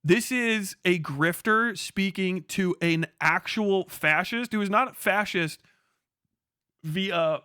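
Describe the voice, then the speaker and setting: Bright-voiced man giving emphatic livestream commentary. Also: high voice, slow, clean tone, no room sound, studio quality. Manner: emphatic, profane, opinionated. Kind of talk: casual unscripted political commentary, reacting to video clips.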